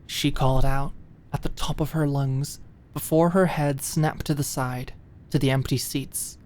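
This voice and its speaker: A woman's voice: rumbling low, steady tone, a little slow, a little mellow. A woman reading dramatic scripted narration as an audiobook narrator.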